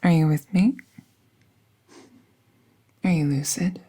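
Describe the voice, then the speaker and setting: A woman playing a calm, gentle magical veterinarian in a soft, breathy, soothing ASMR-style roleplay, often half-whispering. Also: low voice, heavily acted. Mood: calm, reassuring. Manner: slow, hushed, soothing, reassuring. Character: gentle, calm female magical veterinarian treating a wounded werewolf.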